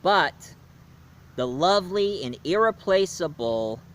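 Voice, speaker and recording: A man's voice: high-pitched, lively intonation, takes it slowly, a bit bright, slight background noise. A man reviewing a movie in a casual, rambling way, with fillers and restarts. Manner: rambling, loose, with fillers and self-corrections.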